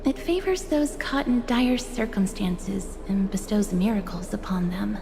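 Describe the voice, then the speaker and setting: An actress playing a polite young female companion in a fantasy game, gentle, formal and measured. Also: mid-range voice, gravelly, music in the background. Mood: apologetic, explaining. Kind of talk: video game voice acting.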